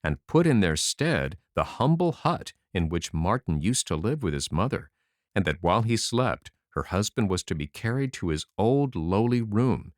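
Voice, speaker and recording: Animated low-voiced man reading a fairy tale. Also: no room sound, studio quality. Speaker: audiobook narrator. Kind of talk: expressive fairy-tale narration.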